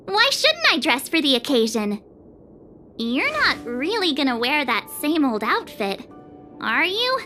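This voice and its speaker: A very high, lively girlish voice over prominent game music. This teenage traveler is bubbly and talkative, with a playful, teasing streak. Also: exaggerated voice. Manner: chatty, expressive, playful. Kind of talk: video game cutscene acting.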